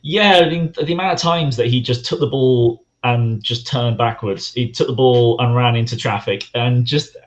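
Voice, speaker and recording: Man, hoarse, from England, rambling chat, dull lo-fi sound. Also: medium pitch, normal speed, hardly any pauses. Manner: rambling, many fillers and restarts. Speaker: guest fan. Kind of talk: casual chat.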